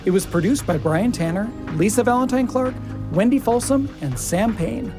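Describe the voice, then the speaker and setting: A woman's voice: a little high, lively intonation, normal speed, over music. A woman co-hosting a podcast, moving between conversational chat and scripted narration. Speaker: podcast host.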